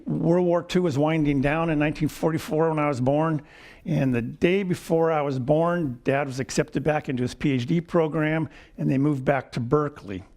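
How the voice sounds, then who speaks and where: A man in his seventies giving a lecture with personal stories, wry and chuckling, often clearing his throat. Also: a little high, fairly even intonation, normal speed, darkish tone. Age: 70s. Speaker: guest lecturer. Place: lecture hall.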